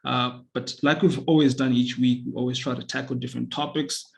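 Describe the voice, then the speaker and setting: Gravelly young man hosting a podcast. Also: mid-range voice, fairly quick. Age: young adult. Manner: relaxed, many "uh" fillers. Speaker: podcast host. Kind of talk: casual podcast interview.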